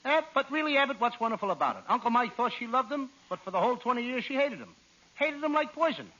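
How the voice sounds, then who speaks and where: Naive excitable comic, high voice, very bright tone, dull old radio sound. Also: male voice, fast talker, full character voice. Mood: eager. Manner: excitable, childlike, sputtering delivery. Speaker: comedian in a radio double act. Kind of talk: 1940s radio comedy acting.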